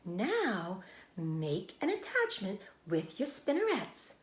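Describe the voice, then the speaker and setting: Patient storybook spider, female, woman reader playing her; measured, knowing, deliberate delivery in an echoey room. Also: medium pitch, wildly swooping pitch. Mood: patient, coaching. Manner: measured, deliberate, softly instructive.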